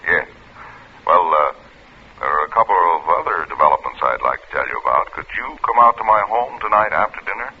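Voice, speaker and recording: A patient, thirty-something police chief in a vintage radio thriller. His voice is very low and animated, reasoning firmly and skeptically. The old recording sounds dull. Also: husky. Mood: courteous, guarded. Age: 30s. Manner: measured, reasoning, firm. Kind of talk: 1940s radio drama acting.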